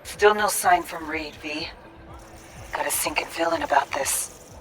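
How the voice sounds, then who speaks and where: Game role: clipped, commanding spy handler, lowish voice, faint music beneath. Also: female voice, unhurried, rough recording. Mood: tense, urgent. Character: commanding, terse female covert operation handler. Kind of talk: video game cutscene acting.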